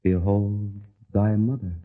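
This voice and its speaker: A solemn dying Jesus speaks in a very deep, very slow voice with archaic words. The old radio audio is thin and phone-like. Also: male voice, fairly even intonation. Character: dying Jesus on the cross, solemn, man in his thirties. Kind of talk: vintage radio drama acting.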